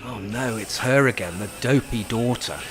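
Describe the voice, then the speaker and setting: An actor playing a cantankerous, sarcastic old care-home resident in an amateur radio play, blunt and full of complaint. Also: male voice, mid-range voice, normal speed, fairly bright tone, faint music behind, close to an everyday voice. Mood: grumpy. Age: older.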